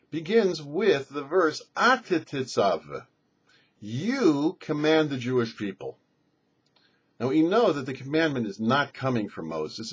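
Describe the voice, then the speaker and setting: A man's voice: mid-range voice, breathy edge. A man, a rabbi and show host, giving a religious teaching in a didactic way with emphatic phrasing. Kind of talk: religious teaching on a Torah portion. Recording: dull lo-fi recording.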